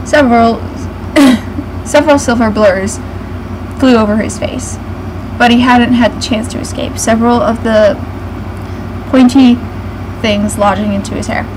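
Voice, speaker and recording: A woman giving a mocking dramatic reading with snarky asides, halting and stumbling over the words. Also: a little high, fairly even intonation. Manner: sarcastic, halting delivery with frequent stumbles. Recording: noisy home recording with clear background hum.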